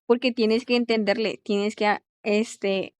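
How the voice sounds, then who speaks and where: A young woman, an English teacher, chatting quickly in a casual conversation and filling her pauses with este. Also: medium pitch, fairly even intonation, clean tone, dry recording. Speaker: English teacher and language student.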